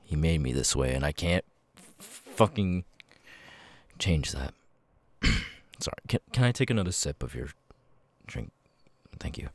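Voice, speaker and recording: An extremely deep-voiced, troubled ex-convict, acted by a man in an ASMR roleplay. He speaks slowly and haltingly, apologetic and stammering, in a very dry, close recording. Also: very animated, slightly rough, no echo, heavily acted. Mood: apologetic, rueful. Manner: halting, stammering, sudden loud tic outbursts. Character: apologetic, troubled male ex-convict with involuntary tics. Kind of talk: ASMR audio roleplay, halting confiding monologue.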